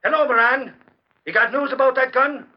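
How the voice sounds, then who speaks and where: A man playing an excitable, blustering, folksy reporter sidekick with rough grammar in a 1940s radio drama. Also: very high-pitched, normal speed. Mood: excited, earnest. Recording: dull old radio broadcast recording.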